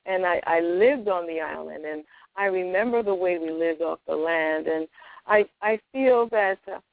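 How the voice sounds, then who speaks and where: A woman's voice: fairly low pitch, normal speed, gravelly, no echo. A woman from Honduras, a guest speaker telling her life story on a radio talk show. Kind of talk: radio interview. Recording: tinny phone-like radio audio.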